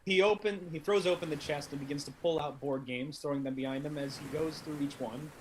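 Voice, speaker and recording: A man's voice: fairly high pitch, steady tone, a little fast, a little hiss or hum, rough recording. A young adult man reading stage directions as scripted narration for a play.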